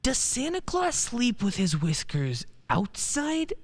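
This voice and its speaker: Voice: mid-range voice, huge pitch swings, slow pace, heavily acted. An actor playing a friendly young male lawyer in a radio play, breezy and wry. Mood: wry, amused. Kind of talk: stage-style radio play acting.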